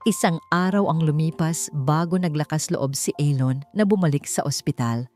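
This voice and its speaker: Low-voiced woman narrating drama. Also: moderate pace, warm tone, faint music behind. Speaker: storyteller.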